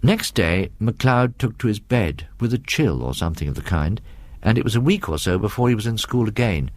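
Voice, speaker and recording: A man with a British English accent reads a first-person ghost story in a measured, reminiscing way with conversational asides. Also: fairly low pitch, animated, a little mellow, dry recording. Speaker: story reader.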